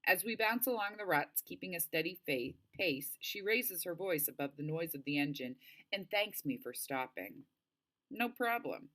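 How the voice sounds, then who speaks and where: Expressive low-voiced woman reading a story. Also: normal speed. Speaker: audiobook-style reader. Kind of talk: read-aloud narration of a novel.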